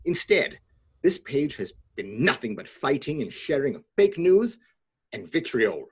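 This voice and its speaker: A man reading aloud as a fed-up English gentleman, prim and stiff over a video call. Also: medium pitch, very animated, a little slow, breathy edge, full character voice. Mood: huffy. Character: fed-up huffy English gentleman, middle-aged.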